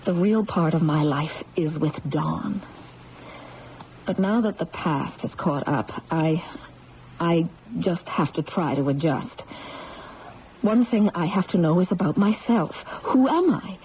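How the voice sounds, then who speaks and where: A troubled young woman in a vintage radio drama, earnest and intense. Her voice sits fairly low and is delivered dramatically, over a dull, noisy old broadcast recording. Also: expressive, slow. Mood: earnest, pleading. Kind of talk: 1970s radio drama acting.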